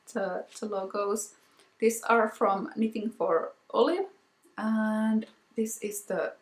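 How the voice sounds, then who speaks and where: Slow-talking Finnish woman, echoey room. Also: medium pitch. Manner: slow, soft-spoken, with frequent ums and repeated words. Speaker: knitting podcast host. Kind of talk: casual show-and-tell vlog.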